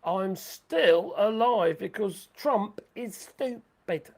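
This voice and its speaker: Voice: medium pitch, animated, very slow, darkish tone. A livestream host rambling through sarcastic commentary, saying the voice is going. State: losing voice.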